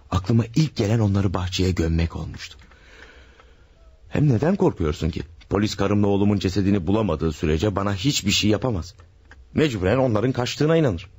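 An actor playing a scheming middle-aged man who killed his wife and son, smooth and gloating. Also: fairly low pitch, a little mellow. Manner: smooth, gloating and sweet-talking. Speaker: radio drama actor. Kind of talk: vintage radio drama acting.

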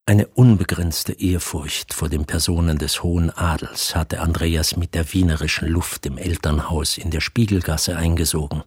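A man narrating a literary radio play as storyteller. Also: low voice.

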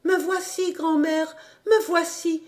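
A bright, eager little girl calls out in breathless bursts, a woman putting her on as she reads a storybook aloud. Her voice sits well above the reader's own tone, light and quick, and it rises at the end of each cry. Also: sits high, voice pushed up. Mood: joyful. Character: little girl rushing home, eager and loving. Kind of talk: storybook reading.

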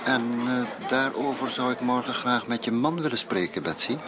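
An actor playing a polished, formal gentleman wanderer, weary of his luck, in a Dutch period radio drama. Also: medium pitch, moderate pace, noisy background, lightly acted. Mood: world-weary. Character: well-travelled, restless, courteous gentleman cousin, weary of misfortune. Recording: loud crowd chatter of theatre foyer.

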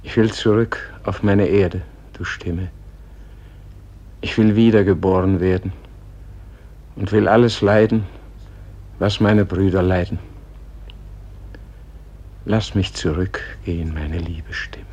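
Weary dying holy man in a radio play, husky n halting, solemn, muffled old recording. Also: low-pitched, steady tone, darkish tone, full character voice. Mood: pleading, defiant. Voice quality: husky, breathy. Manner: slow, grave, halting. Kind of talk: solemn poetic German radio play acting.

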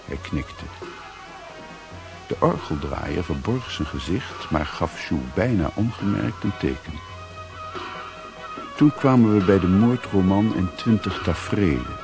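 An actor playing a weary, sombre adventurer, narrating his journey in measured, solemn literary style for a radio drama. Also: low voice, animated, creaky, music in the background, full character voice. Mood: sombre, brooding.

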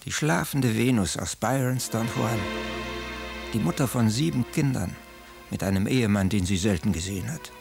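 An actor playing a contemplative, fascinated literary observer, speaking deliberately in a radio drama. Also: male voice, on the low side, vocal fry, music in the background.

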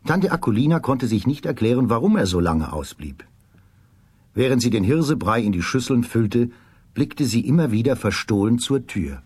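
A man narrating a folk tale in a children's radio play, in a measured, slightly husky storytelling voice. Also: mid-range voice, very animated, a little mellow, no room sound. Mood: calm, descriptive.

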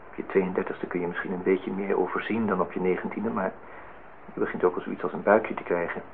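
A man's voice: deep voice, steady tone, fairly quick, creaky. An actor playing an earnest, wistful man in his thirties in a radio drama, speaking formally and reflectively. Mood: earnest, resigned. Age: 30s. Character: earnest, wistful 32-year-old man in love, self-reproaching. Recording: thin phone-like sound, noisy old radio recording.

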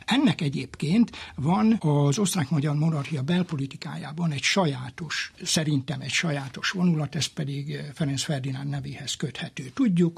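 A man explaining history as an expert interview guest, in deliberate, formal academic phrasing. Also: fairly high pitch, creaky, husky.

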